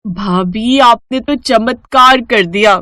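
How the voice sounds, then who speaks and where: A woman's voice: a little high, slow, pushed and bright, no room sound, clean studio sound. A woman voicing an eager, childishly stubborn young village sister in a cartoon story, with a wheedling, emphatic delivery. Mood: delighted.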